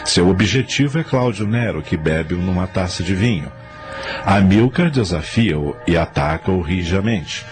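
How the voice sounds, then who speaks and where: A male narrator tells a radio drama in a deep voice. His scripted, dramatic delivery plays over clear background music. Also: lively intonation, measured pace.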